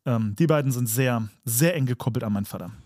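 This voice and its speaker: A man's voice: medium pitch, fast talker, dark tone, no room sound. A man co-hosting a podcast in casual chat, speaking emphatically and repeating words for emphasis. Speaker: podcast co-host.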